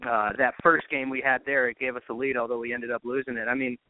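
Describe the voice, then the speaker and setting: A man speaking as a baseball announcer guest in a casual sports interview, thinking aloud haltingly and repeating words. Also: mid-range voice, little pitch movement, no echo. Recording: narrow phone-like line.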